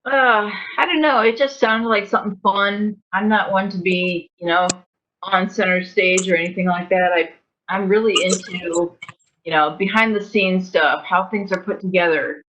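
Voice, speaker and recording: A woman's voice: medium pitch, steady tone, moderate pace. A woman talking casually on a panel as a member of an amateur cast, over dull, noisy audio. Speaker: radio play cast member.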